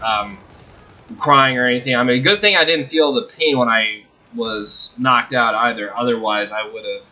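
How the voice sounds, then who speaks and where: A young man hosting a video, telling a personal story casually in a halting, rambling, self-correcting way. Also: medium pitch, sharp tone, muffled recording. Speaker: video host.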